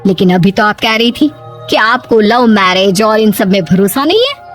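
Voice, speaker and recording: A woman's voice: medium pitch, lively intonation, normal speed, soft background music, natural voice. A woman voicing a chatty, inquisitive middle-aged neighbour with a coaxing, questioning manner in a cartoon story. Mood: questioning, reassuring.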